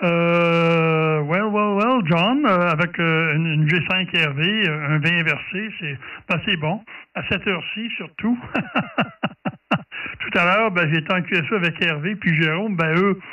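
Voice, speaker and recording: A man with a Quebec French accent talks casually over ham radio in a high, bright voice. He hesitates and repeats himself as he goes. The sound is dull and distant from the mic. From Quebec, Canada. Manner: hesitant, halting, repeats words. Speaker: amateur radio operator. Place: at his radio station.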